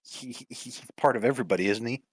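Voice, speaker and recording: A man playing in a tabletop game, joking and talking in character with a sarcastic, expressive manner. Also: mid-range voice, quick pace, breathy edge, no echo. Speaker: player. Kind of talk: in-character tabletop play and banter.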